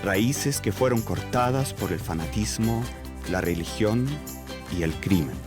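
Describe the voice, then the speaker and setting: A man's voice: on the low side, animated, measured pace, over music. A man from Chile with a German accent, narrating in a personal documentary style.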